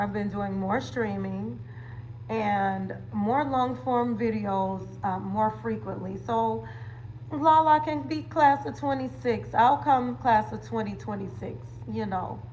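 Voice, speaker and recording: A woman's voice: mid-range voice, darkish tone, slight background noise, low-quality recording. A woman hosting a casual livestream, chatting playfully with viewers in slangy, conversational phrases. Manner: playful, chatty, with slang catchphrases and frequent laughter. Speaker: livestream host and wellness coach.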